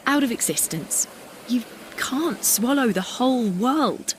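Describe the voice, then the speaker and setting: A woman's voice: on the high side, expressive, slow, slight background noise. Weary, reflective young woman, acted out by an actress. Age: young adult. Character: weary, reflective young woman narrating a climate-ravaged world. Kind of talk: poetic audio play monologue.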